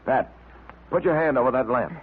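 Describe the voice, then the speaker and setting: An actor playing a firm, rational male detective in an old radio drama, brisk and authoritative. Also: medium pitch, lively intonation, lightly acted. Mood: calm, confident. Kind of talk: 1940s radio drama acting. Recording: tinny, hissy old radio recording.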